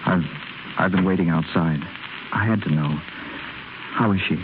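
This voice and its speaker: An actor playing an earnest, troubled young man who speaks haltingly in a 1930s radio drama. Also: deep voice, lightly acted. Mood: anguished, remorseful. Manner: halting, stammering. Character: guilt-ridden, earnest wealthy young man. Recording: muffled, noisy 1930s radio recording.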